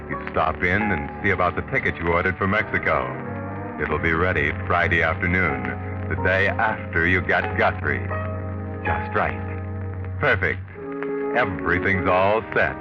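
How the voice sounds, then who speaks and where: An actor playing a sinister, sardonic mystery narrator, speaking deliberately and tauntingly in 1940s radio style. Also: male voice, low voice, music in the background, close to an everyday voice. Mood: ominous, taunting. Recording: muffled vintage radio recording.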